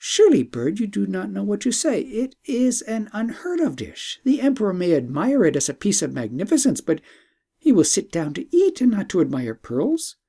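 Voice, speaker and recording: A man acts out a young fairy-tale princess, earnest and practical. The pitch is lifted and moves freely, and the formal words are delivered with lively expression. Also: moderate pace, vocal fry, slightly rough, exaggerated voice. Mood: amazed, doubtful. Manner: expressive, formal storybook diction. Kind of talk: audiobook storytelling.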